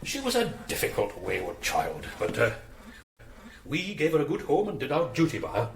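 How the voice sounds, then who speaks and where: A man's voice: medium pitch, wildly swooping pitch, moderate pace, gravelly, reverberant, noisy background. An actor playing a stiff, defensive middle-aged adoptive father in a radio drama, speaking in a prim, disapproving way.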